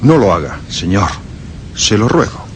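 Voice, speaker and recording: Anxious, lowish-voiced loyal manservant. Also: male voice, big pitch swings, unhurried, over music. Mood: pleading. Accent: Castilian Spanish. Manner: pleading, respectful. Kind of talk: dramatic horror radio play acting. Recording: noisy ambience behind the voice.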